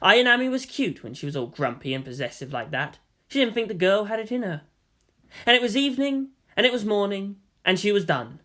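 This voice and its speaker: A fan fiction reader narrating a long story in a steady, even storytelling voice, acting out the dialogue. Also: medium pitch, a little fast. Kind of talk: audiobook-style narration.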